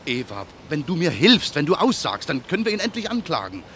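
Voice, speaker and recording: A man's voice: fairly high pitch, very animated, a little fast, fairly bright tone, a little hiss or hum. A man narrating and playing Daniel, a bitter man set on revenge, in an earnest, brooding radio play voice. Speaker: narrator and protagonist.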